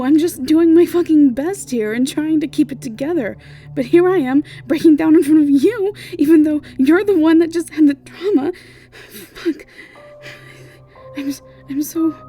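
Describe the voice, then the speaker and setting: A woman's voice: high-pitched, warm tone, pitched above the performer's own voice. A woman voicing a caring, guilt-ridden young woman mentor in an audio roleplay, earnest and apologetic. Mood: tearful, distraught.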